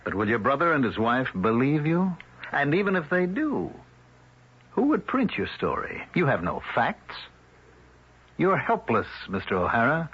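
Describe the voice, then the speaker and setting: An actor playing a smug, threatening male town leader in a radio drama, with a condescending, polite menace and a husky voice. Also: mid-range voice, big pitch swings, normal speed. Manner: smooth-mannered, condescending, menacing. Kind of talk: 1970s radio drama acting. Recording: muffled old radio broadcast.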